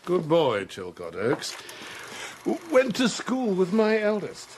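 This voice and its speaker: An actor playing a patronising, shifty middle-aged army major in a radio drama. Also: male voice, high-pitched, huge pitch swings, slow, a little mellow, full character voice. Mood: jovial, patronising. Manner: clubby, patronising, hesitant bluster. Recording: broadcast radio drama with faint noise.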